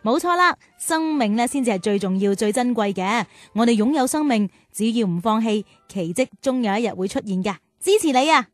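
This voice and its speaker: A woman's voice: fairly high pitch, expressive, a little fast, few pauses, natural voice. A woman with a Hong Kong Cantonese accent playing a kind, encouraging big sister in a children's radio drama. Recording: music under the voice.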